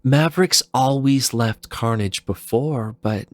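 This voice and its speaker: A man's voice: medium pitch, takes it slowly, dry recording, studio quality, voice pushed up, natural voice. A man voicing an anxious, grave young woman who leads a resistance in a dramatic fan fiction reading. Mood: grave, worried. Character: anxious female resistance leader, urgent and grave.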